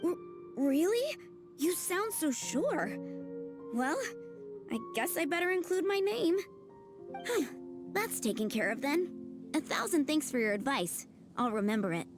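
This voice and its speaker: A woman's voice: sits high, big pitch swings, unhurried, long pauses, over music. A woman playing an anxious, polite young townswoman in a video game, speaking in an earnest, hesitant manner. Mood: relieved, grateful.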